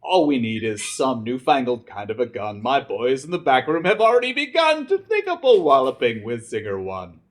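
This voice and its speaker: A man's voice: medium pitch, big pitch swings, normal speed, few pauses, lightly acted. A man voicing a smug, reassuring storybook chief with a confident, patronizing manner.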